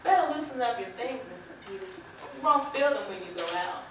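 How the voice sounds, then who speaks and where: A woman playing a plain-spoken, sympathetic, quietly defiant farm wife in an amateur stage play, with deliberate folksy phrasing. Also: on the high side, expressive, natural voice. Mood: fidgety, thoughtful. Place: indoor theater stage, distant microphone. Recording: echoey stage from far off, faint noise.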